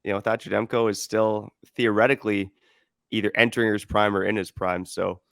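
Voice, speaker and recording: A man hosting a sports podcast, laying out his views in a measured, stat-heavy way with a lot of "you know". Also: on the low side, level tone, vocal fry, no room sound, clean studio sound. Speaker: podcast host. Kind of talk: casual sports analysis.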